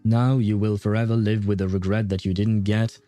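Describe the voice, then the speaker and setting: Chill guy streamer rambling to chat, thinking out loud, mellow voice, faint music behind. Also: fairly low pitch, little pitch movement, a little fast. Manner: rambling, hesitant, thinking aloud. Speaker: game livestreamer. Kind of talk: casual livestream chat. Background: game music.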